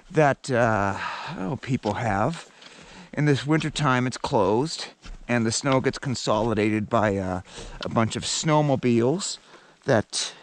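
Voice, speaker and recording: Man, outdoor ski vlogger, mid-pitch, casual rambling, faint background noise. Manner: relaxed, rambling, with many fillers. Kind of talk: casual narration while skiing. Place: outdoors on a snowy mountain trail.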